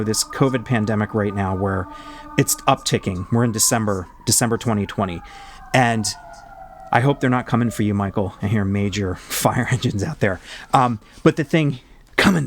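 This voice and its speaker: A man's voice: mid-range voice, no room sound, studio quality. A man with an American accent hosting an earnest podcast discussion, emphatic and restating his points as he goes. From United States.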